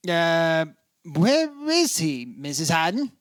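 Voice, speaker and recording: A man playing a brisk, hard-boiled insurance investigator in an old-time radio detective drama, terse and matter-of-fact. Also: on the high side, big pitch swings, no echo, clean studio sound. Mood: probing.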